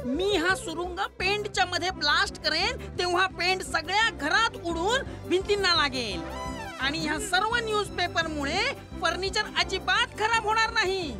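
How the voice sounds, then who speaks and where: Very shrill, boastful cartoon schemer. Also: male voice, normal speed. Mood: confident. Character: scheming, boastful cartoon know-it-all man, comically panicky. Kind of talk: comedy cartoon dub. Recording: loud music behind the voice. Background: cartoon music.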